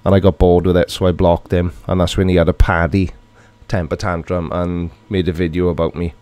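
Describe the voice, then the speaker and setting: Chatty Welsh man, low dry voice. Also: little pitch movement, fairly quick, darkish tone, dry recording. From Wales. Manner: chatty, joking, reads viewer comments aloud. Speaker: livestream host. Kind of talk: casual live chat reading comments.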